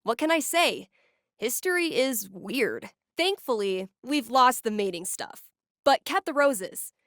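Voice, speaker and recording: A woman's voice: on the high side, sing-song, unhurried, fairly bright tone, no room sound, clean studio sound. A woman voicing a wry, geeky young woman in a video game, with a casual, sardonic and playful manner. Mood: upbeat announcing. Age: young adult. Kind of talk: naturalistic game voice acting.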